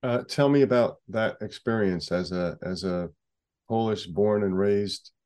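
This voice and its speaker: A man interviewing a guest over a video call, friendly and halting, with many restarts. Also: lowish voice, darkish tone. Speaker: interviewer, associate editor. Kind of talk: casual interview conversation.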